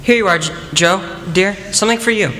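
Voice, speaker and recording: A man plays a mild, polite young man in a radio drama. The voice sounds echoey, in a reverberant hall with clear noise around it. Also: sits high, a little fast, bright, forceful tone. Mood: mild, puzzled. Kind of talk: student radio play acting.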